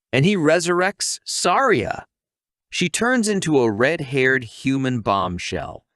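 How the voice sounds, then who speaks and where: A man's voice: fairly high pitch, a bit bright, no room sound, studio quality. A man narrating a scripted comic story recap in a conversational, wisecracking way, with asides to the listener.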